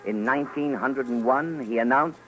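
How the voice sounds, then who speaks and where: A man's voice: mid-range voice, normal speed, dull audio. A man narrating a scripted radio documentary in a theatrical, emphatic old-time style. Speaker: commentator, narrator. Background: music.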